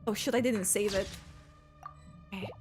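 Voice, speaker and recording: A woman game streamer giving excitable, halting reaction commentary as she plays. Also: mid-range voice, soft background music. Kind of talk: live gameplay reaction commentary.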